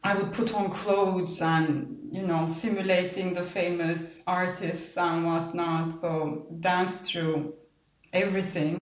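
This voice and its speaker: A woman's voice: fairly low pitch, level tone, takes it slowly. A middle-aged woman from Turkey with a Turkish accent, telling her own story conversationally as an interview guest. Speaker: podcast guest. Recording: roomy, distant, muffled mic.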